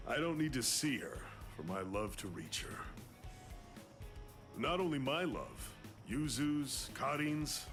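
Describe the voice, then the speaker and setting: Theatrical, swooping goofy anime dad. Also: male voice, medium pitch, creaky, full character voice. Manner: theatrical, teasing, sentimental. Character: goofy, melodramatic, doting middle-aged father. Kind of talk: English anime game dub.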